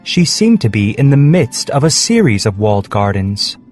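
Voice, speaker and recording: A male storyteller reads a scripted children's tale. His medium-pitched voice rises and falls expressively, with faint music underneath. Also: big pitch swings, moderate pace, darkish tone. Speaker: narrator.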